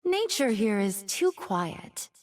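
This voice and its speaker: Slow, seductive wild fox spirit. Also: female voice, medium pitch, sing-song, distant mic. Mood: wistful, wondering. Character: seductive nine-tailed fox woman, ancient, wild, predatory, wistful. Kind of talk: video game character voice lines.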